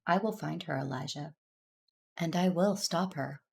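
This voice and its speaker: A woman playing a solemn, conflicted but resolute Jedi warrior in an audio drama, speaking in a measured, earnest way. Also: low-pitched, creaky, full character voice. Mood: cold, resolute. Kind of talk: first-person narration and dialogue in audio drama.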